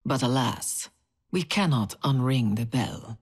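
An actress playing a grave, commanding female agency leader in a video game, narrating in a measured, solemn way. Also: extremely low pitch, lively intonation, slightly rough, full character voice. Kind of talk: scripted cinematic narration and briefing.

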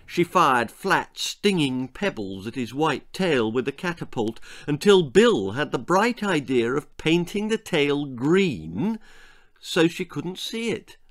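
A man's voice: fairly high pitch, sing-song, slow. A man reading a children's story aloud in a theatrical, emphatic way. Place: small book-lined room at home.

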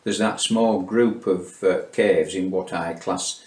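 A man's voice: low voice, steady tone, takes it slowly. Matter-of-fact man, echoey room. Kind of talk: documentary interview.

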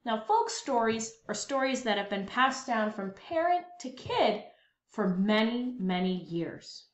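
A woman reading a children's story aloud with expressive, dramatic, emphatic phrasing. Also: on the high side, unhurried, slight background noise. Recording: dull lo-fi, roomy echo.

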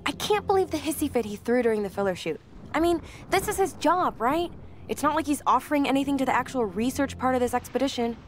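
A young woman playing a spirited expedition filmmaker, confiding in a casual, expressive diary for a video game. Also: high-pitched, quick pace. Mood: exasperated, confiding. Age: young adult. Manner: conversational, candid, expressive.

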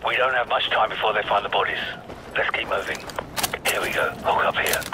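An actor playing a tough, watchful British special forces commander, giving terse, controlled tactical orders in a British accent. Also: male voice, fairly low pitch, fairly even intonation, brisk, very noisy, lightly acted. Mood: focused. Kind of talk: tactical game dialogue.

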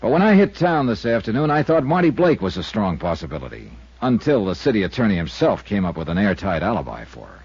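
A man's voice: on the low side, very animated, fast, few pauses, a little mellow, breathy edge, no echo, full character voice. An actor playing a hard-boiled male insurance investigator in a 1950s radio drama, dry, wisecracking and probing. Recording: muffled old radio recording, dry.

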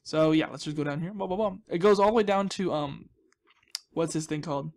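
Male gaming host, slightly high register. Chatty, jokey, self-deprecating, loose off-the-cuff play commentary. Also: a little fast, a little mellow. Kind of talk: casual rambling gameplay commentary.

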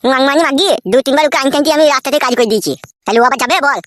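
High-pitched, blustering cartoon husband. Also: male voice, very fast, a bit bright. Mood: brazen, annoyed. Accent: rural West Bengal. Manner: bickering, blustering, crude. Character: brazen, irritable village husband with two wives. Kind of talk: comedy cartoon dub, quarrelling.

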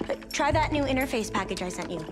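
A woman's voice: sits high, expressive, quick pace, loud music behind the voice. An actress playing a bold, hopeful young female hacker, persuasive and teasing, in a game cinematic. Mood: curious. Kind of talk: dramatic animated game cinematic acting.

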